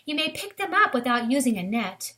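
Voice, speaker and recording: A woman with a fairly high voice reads a nature book aloud for children. She speaks to her young listeners directly, as a teacher would in a lesson. Now and then she adds a short aside of her own to the written text. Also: big pitch swings, a little fast, bright, forceful tone.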